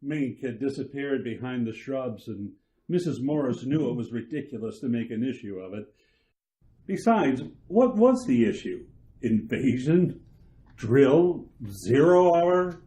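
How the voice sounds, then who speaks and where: A man narrating a scripted suspense radio drama in a deliberate, dramatic way. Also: medium pitch, animated, mellow. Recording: music behind the narration.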